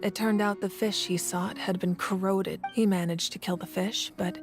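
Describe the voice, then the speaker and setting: A woman playing a composed, dignified leader in a video game, wise and reassuring, with a measured, earnest delivery. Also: mid-range voice, steady tone, over music, close to an everyday voice. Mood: reflective. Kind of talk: video game character voice acting.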